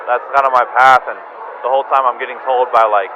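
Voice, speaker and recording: A low-energy, downcast man rambling through a confessional voicemail, halting and repeating himself, casual and prone to swearing. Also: steady tone. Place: bar, sitting alone. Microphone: phone voicemail recording. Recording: muffled sound, loud bar noise. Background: loud bar ambience.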